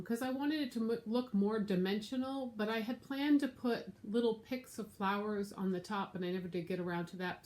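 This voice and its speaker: An American woman with a nasal, stuffed-up voice from being sick, chatting casually to camera as she shows her craft projects. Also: medium pitch. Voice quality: nasal, congested. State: sick with congestion. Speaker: craft vlogger and show host. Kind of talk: casual show-and-tell chat to camera. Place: room at home.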